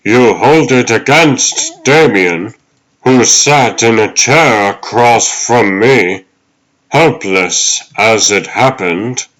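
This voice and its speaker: A man voicing the ghost of an old butler, fatherly, earnest and deliberate, in a dramatic comic dub. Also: medium pitch, a bit bright, poor audio. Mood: solemn, caring.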